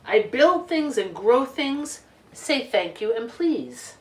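A middle-aged woman reading a picture book aloud to children in an expressive, deliberate way with emphasized words. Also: a little high. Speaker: children's storytime reader. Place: living room couch, indoors. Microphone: camera a few feet away. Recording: roomy home recording, distant microphone.

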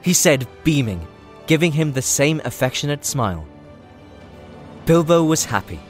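A man's voice: a little high, big pitch swings, moderate pace, faint music behind. A man reading scripted story narration as the narrator of an audio drama.

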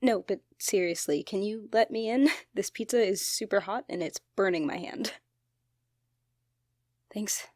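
A woman playing an expressive young genderfluid best friend in a conversational ASMR roleplay. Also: medium pitch, a little slow, long pauses, dry recording, clean studio sound, close to an everyday voice. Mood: playful, teasing. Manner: teasing, casual, expressive, apologetic. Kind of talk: ASMR roleplay, one-sided conversational acting.

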